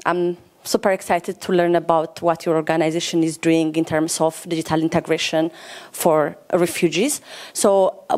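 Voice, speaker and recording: Audience questioner, woman from Ethiopia, slightly low voice, earnest and polite. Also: a little mellow. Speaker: audience member asking a question.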